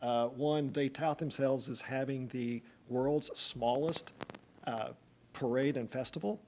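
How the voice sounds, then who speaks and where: A man's voice: mid-range voice, steady tone, a little slow, a little mellow, a little hiss or hum. Man, East Tennessee accent, consultant, chatty presentation, filler-heavy. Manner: chatty, joking, many fillers. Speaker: planning consultant. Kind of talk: public meeting presentation.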